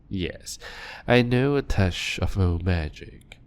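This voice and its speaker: A man voicing an ancient male vampire doctor, calm and clinical, speaking softly and often in a whisper in an audio roleplay. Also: low voice. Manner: soft-spoken and measured, often whispering.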